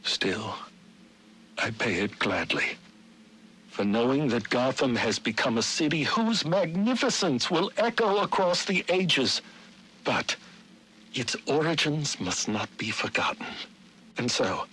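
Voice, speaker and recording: An actor playing a middle-aged Victorian gentleman, a scheming city founder, narrating his journal in formal, dramatic, archaic diction for a video game. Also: mid-range voice, expressive, takes it slowly, breathy edge, exaggerated voice, rough recording. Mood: solemn.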